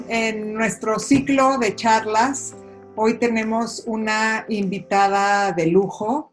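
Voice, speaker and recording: A woman hosts an interview and welcomes her guest in a friendly, formal tone, with occasional fillers between her ideas. Soft background music plays underneath her voice. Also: mid-range voice. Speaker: interview host. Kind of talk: hosting an online interview.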